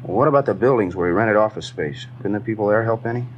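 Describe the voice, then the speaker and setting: A fairly low male voice of an actor as an earnest new detective partner in a vintage radio police drama. He talks quickly in clipped, casual questions, over clear background noise. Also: dull audio, close to an everyday voice. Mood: inquisitive. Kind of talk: 1950s radio drama acting. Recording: noisy 1950s radio recording, ambience.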